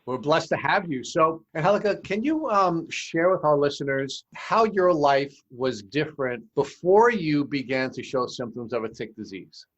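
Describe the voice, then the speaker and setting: A man hosting a podcast, reading a formal introduction and then asking interview questions in a measured way. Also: a little high, expressive, fairly bright tone, no room sound, lo-fi sound. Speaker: podcast host.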